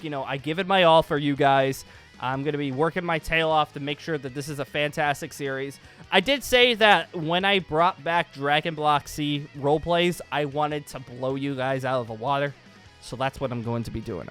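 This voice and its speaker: A man with a fairly high, very bright voice talks as the host of a gaming channel. He rambles casually and gets emphatic and excitable as he makes his points. Faint music plays behind him. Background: background music.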